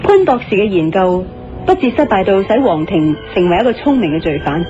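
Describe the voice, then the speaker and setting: Actress as a shrewd, assertive amateur sleuth wife. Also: female voice, mid-range voice, very animated, natural voice. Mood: confident, analytical. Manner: deliberate, assertive, argumentative. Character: shrewd, confident wife reasoning like a detective. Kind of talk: Cantonese radio drama acting. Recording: dull lo-fi radio recording, music behind.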